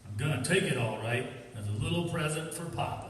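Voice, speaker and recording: A man's voice: mid-range voice, expressive, a little fast, a bit bright, natural voice. A man playing an impatient, threatening tough crook with a gun in an old-time radio detective drama. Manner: threatening, sneering. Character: menacing male gangster gunman, impatient tough crook. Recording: very echoey hall from far off.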